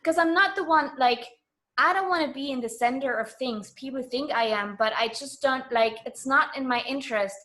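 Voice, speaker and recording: A woman's voice: sits high, moderate pace, pushed and bright. A woman speaking as a guest in a casual radio interview, earnest, decisive and frank in her manner.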